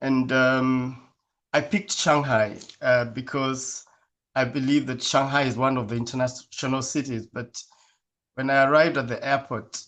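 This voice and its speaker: A man from Kenya who is a guest in a casual interview. He speaks in a measured, thoughtful way and often pauses with fillers. His voice sits at an ordinary middle pitch for a man. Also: fairly even intonation, fairly bright tone.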